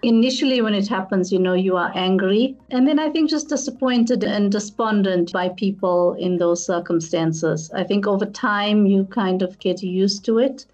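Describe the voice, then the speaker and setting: A woman, a therapist and pastor speaking deliberately and candidly as a studio guest. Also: medium pitch, darkish tone, poor audio. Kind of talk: reflective conversation.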